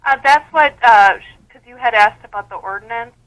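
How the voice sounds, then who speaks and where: A woman clerk with a bright, fairly high voice handles a customer service call. She talks as someone assisting a caller over the phone. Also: brisk, rough recording. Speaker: city office clerk.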